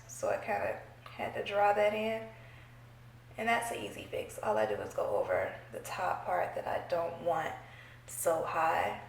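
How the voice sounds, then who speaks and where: Congested young woman doing a makeup tutorial, gravelly voice, chatty with her viewers, echoey room, faint hiss. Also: medium pitch. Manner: chatty, playful, talks to viewers while working. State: congested from a cold. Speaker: beauty vlogger. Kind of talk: casual makeup tutorial chat. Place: small room at home, indoors.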